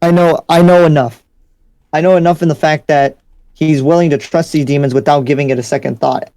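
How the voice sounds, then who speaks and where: A man's voice: on the high side, fairly even intonation, brisk, dark tone, no room sound, natural voice. A man playing a blunt, paranoid demon slayer in an improvised anime game roleplay, speaking conversationally but insistently. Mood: frustrated, argumentative. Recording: background music under the voice.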